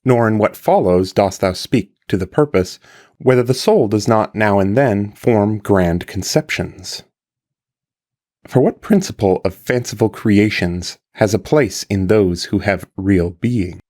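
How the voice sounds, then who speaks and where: A man with a fairly low voice reads a scripted, old-fashioned philosophical text. His delivery is formal and carefully measured, with emphatic phrasing. The pitch rises and falls widely as he moves through long sentences. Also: animated, a little mellow. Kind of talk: scripted audiobook-style reading of an archaic philosophical translation.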